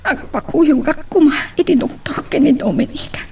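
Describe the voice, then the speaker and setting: A woman's voice: high-pitched, moderate pace, a little mellow. A woman acting a bitter, aggrieved abandoned lover in an Ilocano audio drama. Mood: bitter, anxious. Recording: clear background noise and ambience.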